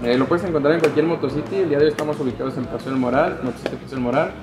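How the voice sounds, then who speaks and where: A man's voice: a little high, racing, background noise. Casual Mexican salesman in echoey shop. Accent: Mexican Spanish. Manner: casual, many "este" fillers. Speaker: sales adviser. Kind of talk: product explanation. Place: motorcycle gear shop, indoors.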